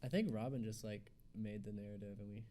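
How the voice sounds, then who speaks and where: A young man of twenty-two drops in short, easygoing remarks and finishes his bandmate's thoughts. His voice sits at a mellow, darker tone, with a faint hiss of noise behind him. It's a casual radio studio conversation. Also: fairly low pitch, steady tone, a little fast. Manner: brief interjections, finishes others' sentences. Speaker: band member. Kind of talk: casual interview chat.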